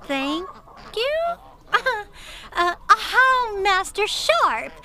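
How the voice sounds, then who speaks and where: A woman's voice: extremely high pitch, sing-song, drawn-out words, full character voice. A woman playing a nervous, polite, nitpicking Puritan goodwife in a comic audio drama. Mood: chirpy, nervous. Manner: bright, giggly, polite.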